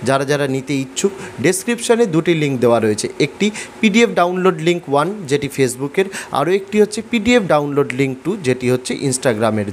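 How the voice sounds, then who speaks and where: Fast-talking, higher-pitched Bengali man teaching online. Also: slight background noise. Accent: Bengali from West Bengal, India. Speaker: online class teacher and channel host. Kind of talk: explanatory tutorial narration addressed to students.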